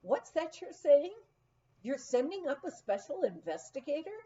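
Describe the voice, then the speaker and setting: A woman's voice: high-pitched, sing-song, normal speed, warm tone, highs cut off, voice pushed up. A woman voicing a plain-spoken male ranch owner, incredulous and exclaiming, in a memoir reading.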